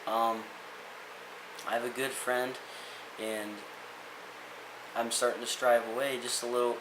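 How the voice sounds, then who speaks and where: A man's voice: medium pitch, little pitch movement, unhurried. A young man giving a casual, rambling motivational rant as a vlogger, earnest and full of restarts. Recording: echoey room with hiss.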